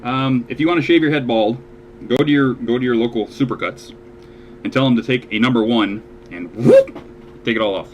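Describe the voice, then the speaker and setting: A man's voice: mid-range voice, fairly quick, slight background noise, low-quality recording. A man hosting a casual podcast, explaining things step by step with dry jokes. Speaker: podcast host.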